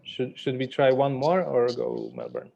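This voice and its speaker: A man's voice: medium pitch, huge pitch swings, unhurried, vocal fry. A man making brief contributions to a group exercise, heard through muffled video call audio. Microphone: video call microphone.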